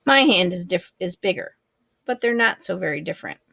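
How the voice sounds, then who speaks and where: A woman reading a children's book aloud expressively, with deliberate, measured phrasing. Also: on the low side, expressive, vocal fry, pushed and bright, slightly rough, dry recording, clean studio sound. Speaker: storybook reader.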